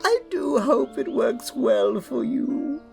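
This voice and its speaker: A performer playing a mocking, gloating witch in a fantasy audio drama, with drawn-out taunting delivery. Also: high voice, wildly swooping pitch, creaky, soft background music, heavily acted. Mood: mocking amusement. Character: mocking, amused witch, gloating over heroes.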